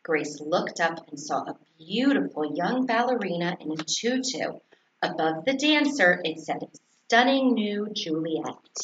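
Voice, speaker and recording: A woman's voice: on the low side, animated, a little slow. A woman reading a children's story aloud, narration and dialogue, as a teacher. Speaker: fourth grade teacher. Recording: echoey, dull, faintly noisy recording.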